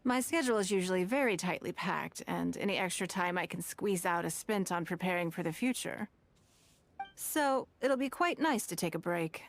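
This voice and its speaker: A woman voicing a poised, shrewd, wealthy businesswoman in a video game, speaking in a calm, measured, elegant way. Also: lowish voice, slight background noise. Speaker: game character.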